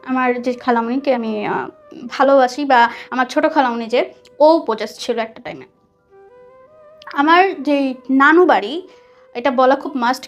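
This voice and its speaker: High-pitched Bangladeshi woman storyteller. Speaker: guest telling a personal story. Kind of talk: conversational personal storytelling. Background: soft background music.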